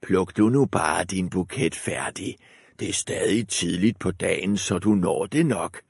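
A sly, hungry wolf from a fairy tale, as a male narrator puts him on, talking low and hushed with a gravelly edge. The voice sits deep and dry, close to the mic. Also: no room sound, full character voice. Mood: scheming.

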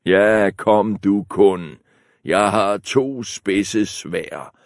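A man voicing the big billy goat with a coarse voice, slow and threatening. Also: fairly low pitch, gravelly, no echo, studio quality. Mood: defiant.

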